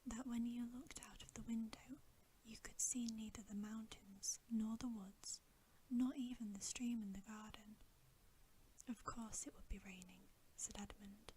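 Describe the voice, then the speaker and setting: Female ASMR narrator for bedtime fiction: gentle breathy whisper, very unhurried delivery, slight background hiss. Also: fairly high pitch. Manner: gentle, unhurried, soothing storytelling. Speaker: ASMR reader.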